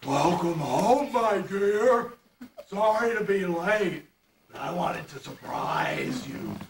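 A man playing a cowardly, affectionate lion in a puppet show, with a slow, plaintive delivery. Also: high-pitched, expressive. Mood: apologetic. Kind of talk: amateur puppet-show acting. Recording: distant echoey noisy home video.

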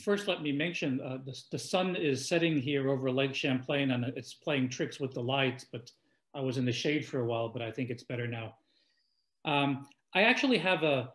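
A man in his sixties interviewing an author over a video call from home, courteous and hesitant with many fillers. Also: medium pitch. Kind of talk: webinar interview. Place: home room by a lake.

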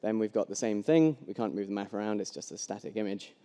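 A man's voice: fairly low pitch, quick pace, mellow. A young man giving a casual live coding talk at a meetup, with plenty of ums and restarts, on a headset mic. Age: young adult. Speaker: conference speaker. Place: large hall with long reverb. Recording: echoey hall.

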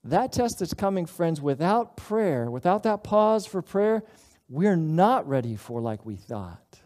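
A middle-aged man preaching a sermon as pastor, earnest and conversational, with dramatic pauses. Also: high-pitched, very animated, a little mellow. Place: church sanctuary platform.

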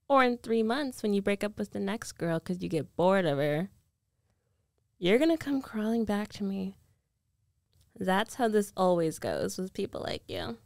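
A woman's voice: mid-range voice, a little mellow, no echo, clean studio sound. A woman playing a rich, possessive ex-girlfriend in an intimate ASMR roleplay, coaxing and self-assured with a condescending edge. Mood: smug coaxing. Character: rich, domineering, possessive young ex-girlfriend coaxing her lover back. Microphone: close microphone, dry.

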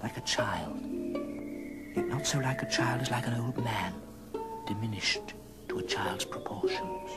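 A man narrating a story in an old radio drama, measured and theatrical. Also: medium pitch, in a whisper. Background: music.

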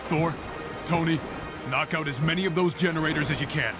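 An actor playing a determined superhero leader giving commands in a video game. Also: male voice, fairly high pitch, brisk, natural voice. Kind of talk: video game combat dialogue. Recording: loud music behind.

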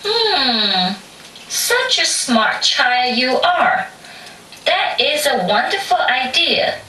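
Puppet-show villain: sly old tiger auntie played by a woman, menacing, slow, swooping pitch, echoey stage sound. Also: very animated, bright, forceful tone, a little hiss or hum, full character voice. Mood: sly, pleased. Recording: echoey, distant stage recording with faint music.

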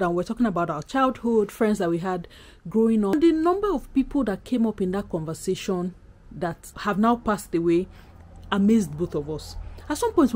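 A woman's voice: medium pitch, warm tone. A woman in her thirties from Nigeria with a Nigerian accent, talking casually to camera as a vlogger in a candid, emphatic, conversational way. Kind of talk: casual chatty vlog to camera. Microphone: camera at arm's length.

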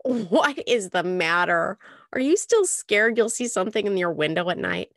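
A woman's voice: mid-range voice, expressive, normal speed, dry recording, studio quality, natural voice. A woman voicing a teasing, easygoing teenage older brother in an audiobook, speaking in an offhand, mocking manner.

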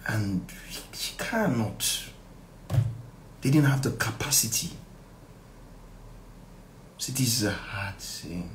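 A middle-aged Nigerian man leading a live Bible study as pastor, reading verses and explaining them emphatically and deliberately, with repeated phrases and rhetorical questions. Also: on the low side, expressive. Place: small indoor room. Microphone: phone at arm's length. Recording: echoey room, faint background noise.

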